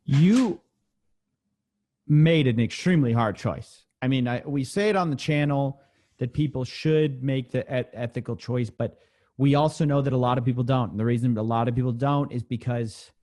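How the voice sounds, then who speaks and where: Man interviewing in a mid-pitched voice. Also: moderate pace, mellow. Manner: informal, summing up and probing. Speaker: interviewer, channel host. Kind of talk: conversational interview.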